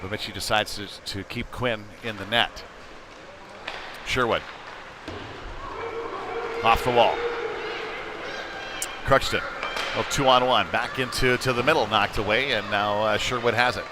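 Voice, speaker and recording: An American man giving casual, conversational live hockey play-by-play as a commentator, getting excitable when play heats up. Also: on the low side, a little slow, long pauses. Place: indoor ice rink. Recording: noisy indoor ice rink.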